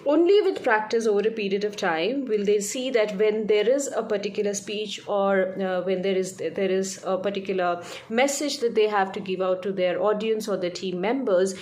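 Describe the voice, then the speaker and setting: Female communication coach, mid-pitched voice, informal teaching delivery with emphasis on key words and frequent check-ins. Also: normal speed, hardly any pauses, a little mellow. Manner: stresses key words, often checks with "right?". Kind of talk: informal instructional explanation.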